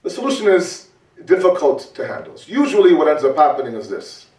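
Animated male preacher in an echoey room. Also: a little high, a little fast. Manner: conversational, animated, rhetorical questions, repeats for emphasis. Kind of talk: religious talk. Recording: roomy, distant mic.

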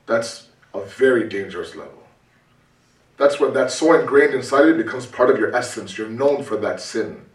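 A man giving a conversational religious talk, animated and full of rhetorical questions and repetition. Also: medium pitch. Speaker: preacher. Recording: roomy, distant mic.

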